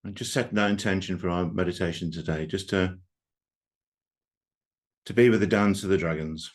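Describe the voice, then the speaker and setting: A man leading a guided meditation as a group guide, speaking gently and unhurriedly with long pauses. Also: low-pitched, fairly even intonation.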